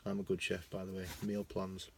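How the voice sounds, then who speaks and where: Young Lancashire man, lowish voice, chatty and self-mocking, filming on his phone in his car. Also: completely flat delivery, fairly quick, vocal fry, a little hiss or hum. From Lancashire, England. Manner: chatty, self-mocking, swings between loud bursts and mumbling asides. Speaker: vlogger. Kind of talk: casual rambling monologue. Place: parked car.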